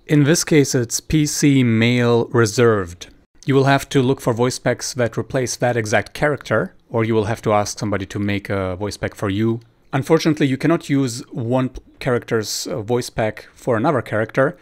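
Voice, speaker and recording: A man's voice: mid-range voice, normal speed. A man presenting a screen-recorded tutorial, explaining each step informally.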